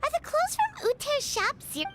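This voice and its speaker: A woman voicing a small, excitable, curious fairy companion in a video game, with wide-eyed, exclamatory delivery. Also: very high-pitched, moderate pace, very noisy. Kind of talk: scripted video game dialogue. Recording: game music behind the voice.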